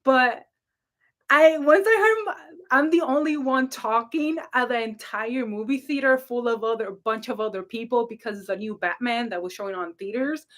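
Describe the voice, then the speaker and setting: Woman livestream host, loud and rambling, higher-pitched casual storyteller. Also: normal speed. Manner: animated, rambling, laughing, frequent throat clearing. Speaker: livestream host, spiritual reader.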